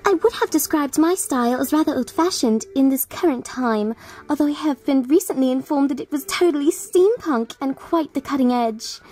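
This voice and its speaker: A woman's voice: high voice, animated, normal speed, darkish tone. A woman voicing an old-fashioned, daredevil robot girl from a cartoon in a formal, precise way. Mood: proud, formal.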